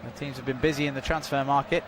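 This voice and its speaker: Male football commentator, measured delivery, mid pitch, clear outdoor background noise. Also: steady tone. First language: not Dutch. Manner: measured, informative, filling time with stats and updates. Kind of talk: live sports commentary during a stoppage.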